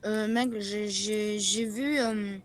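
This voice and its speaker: A performer with a halting, repetitive delivery improvising a jittery, watchful survivor in a zombie game roleplay. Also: mid-range voice, steady tone, close to an everyday voice. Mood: explaining. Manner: halting, repeats words.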